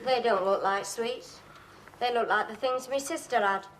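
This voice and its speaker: Sitcom: an actress as a quick-tongued young woman, high and lively voice, sceptical, hissy old recording. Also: lightly acted. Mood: teasing. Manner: sharp, teasing, sceptical. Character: sharp, teasing young woman visitor.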